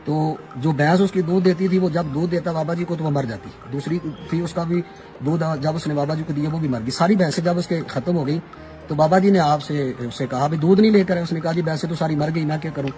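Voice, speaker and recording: A man quietly telling a religious anecdote with quoted dialogue, speaking haltingly. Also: fairly high pitch, fairly even intonation, soft background music. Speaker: religious storyteller.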